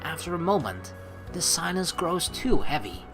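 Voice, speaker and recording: A woman hosting a let's play, reading game narration and dialogue aloud with playful, expressive commentary. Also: on the low side, normal speed. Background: game music.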